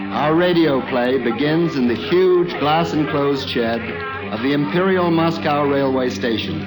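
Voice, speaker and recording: A man's voice: mid-range voice, poor audio. A man narrating an old-time radio play in a grand, dramatic, florid manner.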